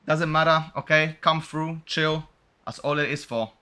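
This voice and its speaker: A man's voice: fairly high pitch, fairly even intonation, measured pace, bright, forceful tone, smooth. A young man doing casual livestream game commentary, upbeat and slangy as he chats with his viewers. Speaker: game streamer. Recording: music playing behind the voice.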